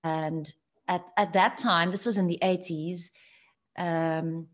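A woman from South Africa whose first language is Afrikaans, a guest telling her family story in a soft-spoken, reflective way with some halting restarts. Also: on the low side, fairly even intonation. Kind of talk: personal storytelling.

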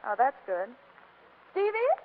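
Sly young wife, 1940s radio comedy; high, swooping, teasing voice on a muffled old broadcast. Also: female voice, wildly swooping pitch, a little slow, full character voice. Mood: suspicious. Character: spirited young wife, coolly suspicious and sly.

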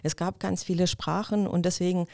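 A woman's voice: lowish voice, fairly even intonation, a little fast, creaky, mellow, no room sound, studio quality. A middle-aged woman born in Ukraine, a lawyer talking as a podcast guest in thoughtful casual chat with many fillers and restarts.

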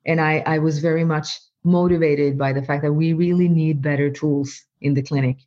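A woman's voice: low-pitched, steady tone, normal speed, warm tone. A woman, a doctor speaking as an interview guest, answering thoughtfully and often repeating words while she finds her phrasing. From Norway. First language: Norwegian. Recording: slightly muffled, lo-fi.

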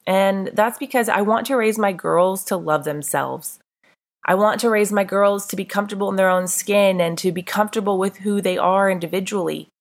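A woman's voice: mid-range voice, moderate pace. A congested woman hosting her own podcast, talking in a candid, earnest, conversational way with a throaty, stuffed-up voice. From North Carolina. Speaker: podcast host. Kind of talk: casual solo podcast talk.

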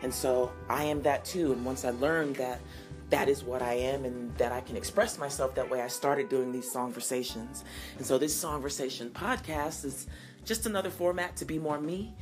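Woman, forties, podcast host, husky low voice, earnest and affirming, faint music behind. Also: moderate pace. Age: 40s. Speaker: singer-songwriter hosting a call-in podcast. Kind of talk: reflective podcast talk answering listener messages.

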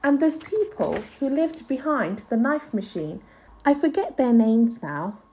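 A woman voicing an anxious, sharp-tongued, determined mother in a storybook, with emphatic, homely ungrammatical speech. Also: high voice, expressive, measured pace, a little hiss or hum, poor audio. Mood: firm, persuading. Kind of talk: storybook read-aloud character voice.

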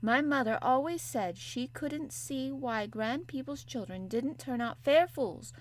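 A woman's voice: high-pitched, unhurried, voice pushed up. Woman voicing a young Yorkshire housemaid, broad dialect, blunt, good-natured, storybook. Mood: chatty. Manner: broad dialect, plain-spoken and homely.

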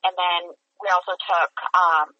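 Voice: medium pitch, level tone, measured pace, far from the mic. A young musical theater actor from Massachusetts, answering interview questions in an earnest, chatty way with frequent fillers. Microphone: phone or call line. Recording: lo-fi call audio.

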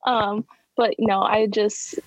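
A woman's voice: mid-range voice, level tone, slow pace, rough recording. A young woman, a university student, speaking as a podcast guest in an earnest, self-deprecating way. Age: young adult. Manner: earnest, self-deprecating, many fillers and restarts. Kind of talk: casual interview conversation.

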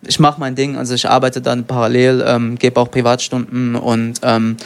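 A young man from Germany, an MMA fighter and guest, answering in a relaxed, rambling way with lots of fillers. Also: mid-range voice, moderate pace, clean tone. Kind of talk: casual interview.

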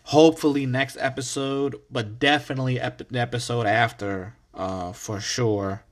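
A young man from the United States hosts his own podcast and tells a story in a loose, chatty monologue. He is animated and foul-mouthed, and his voice often jumps into sudden loud outbursts. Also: medium pitch, moderate pace. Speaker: podcast host.

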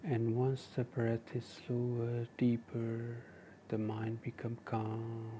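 A man with an Indian accent leading a guided meditation, giving gentle, measured instructions in short phrases with pauses. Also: medium pitch, completely flat delivery, slight background noise. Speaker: meditation teacher and podcast host.

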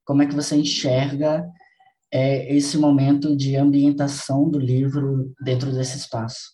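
Earnest man, mellow voice. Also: medium pitch, little pitch movement, measured pace. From Bahia, Brazil. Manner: earnest, reflective, repeats for emphasis. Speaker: podcast host. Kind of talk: casual podcast conversation.

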